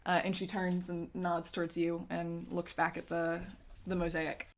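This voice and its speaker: A woman narrating a tabletop game as game master, conversational and pausing to search for words. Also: fairly low pitch, completely flat delivery.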